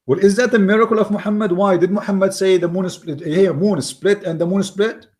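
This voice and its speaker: A man with an Arabic accent, his voice sitting a little high for a man, speaks in the mocking, emphatic tone of an online commentator. He hammers points by repeating words and often stumbles and restarts his non-native English. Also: steady tone, fairly quick, darkish tone. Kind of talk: argumentative commentary reading from an article.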